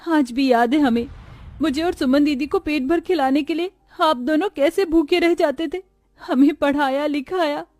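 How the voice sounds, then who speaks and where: A tender young sister from a poor family , voiced by a woman storyteller, pleads earnestly in a cartoon tale. Her voice is high and soft, recorded dry. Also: normal speed, no echo, close to an everyday voice. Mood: tearful devotion.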